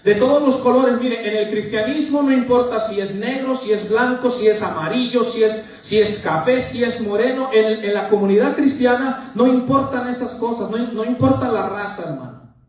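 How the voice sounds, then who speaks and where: A man's voice: very high voice, normal speed. Man, pastor mid-sermon; lists and repeats for emphasis; very reverberant space. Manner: earnest, keeps calling listeners "brother". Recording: very echoey room, mic far off.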